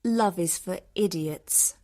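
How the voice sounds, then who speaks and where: A woman's voice: fairly low pitch, slow pace, dark tone. A woman playing a cynical, irritable young woman in an acted audiobook, with a sarcastic, cutting manner.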